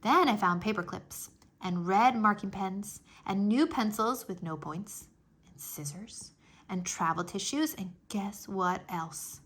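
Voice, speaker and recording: A woman reading a children's storybook aloud as narrator, expressively, with animated, childlike first-person storytelling. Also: medium pitch, slow, slightly rough.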